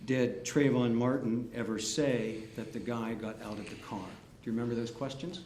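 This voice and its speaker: Male attorney, mid-pitch, deliberate leading questions, roomy courtroom, light hiss. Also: fairly even intonation, a little mellow. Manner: methodical, leading yes-or-no questions. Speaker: defense attorney. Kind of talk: courtroom cross-examination. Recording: echoey courtroom, faint hiss.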